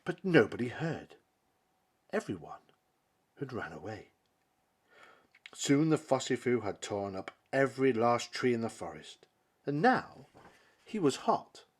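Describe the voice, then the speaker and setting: A male storyteller reads a picture book in a dramatic, theatrical style. His slightly husky voice rises and falls widely, and he takes his time, pausing for emphasis between phrases. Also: mid-range voice, big pitch swings. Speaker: storybook reader. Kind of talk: dramatic children's story narration.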